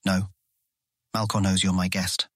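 A man's voice: sits low, completely flat delivery, unhurried, a bit bright, husky, dry recording, studio quality, full character voice. An actor playing an eager, proud young man showing a guest around in a fantasy audiobook. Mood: proud, friendly. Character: eager, proud young male guild guide. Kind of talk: full-cast audiobook acting.

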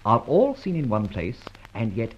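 A smooth debonair adventurer, urbane n cocky, voice swoops all over, dull old radio sound. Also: male voice, medium pitch, wildly swooping pitch, moderate pace, slight background noise. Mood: brisk, assured. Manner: breezy, urbane, self-assured. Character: suave, debonair gentleman adventurer, secretly a roguish thief.

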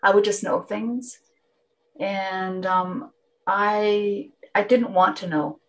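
A woman with a Canadian accent and Finnish as her first language speaks as a guest in a reflective conversation. She sits at a middle pitch and often halts mid-phrase to rephrase her thoughts. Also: fairly even intonation, takes it slowly, fairly bright tone. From Northern Ontario, Canada. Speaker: interview guest.

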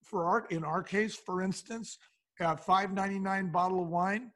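A man's voice: high voice, fairly even intonation, moderate pace, a bit bright. A man speaking as an interview guest, explaining business advice conversationally and posing questions that he then answers. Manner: explanatory, poses questions then answers them, acts out dialogue. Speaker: podcast guest, business founder.

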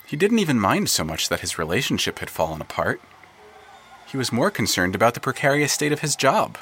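A man's voice: mid-range voice, very animated, brisk, a bit bright, breathy edge. A man narrating scripted literary fiction in a dramatic, wry storytelling manner. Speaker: narrator of an audio fiction podcast.

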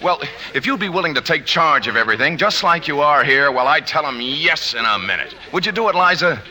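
An actor playing a boyish, eager and dependent movie star in a 1950s radio drama. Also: male voice, fairly high pitch, animated, brisk, pushed and bright. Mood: excited. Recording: vintage radio broadcast, faint noise.

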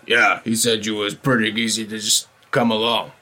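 A man voicing a gruff, pushy male cultist thug who talks in rough street slang. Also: on the low side, flat delivery, normal speed, pushed and bright. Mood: pushy, brusque.

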